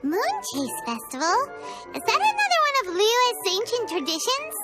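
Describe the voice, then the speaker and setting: A woman voicing a tiny, cheeky, childlike fairy companion in a video game, squeaky and excitable. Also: moderate pace, heavily acted. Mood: curious. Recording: music under the dialogue.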